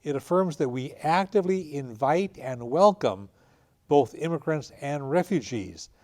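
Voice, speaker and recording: A man hosting an interview program, introducing his guests and asking questions in a measured way. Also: fairly high pitch, animated, darkish tone. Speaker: radio show host.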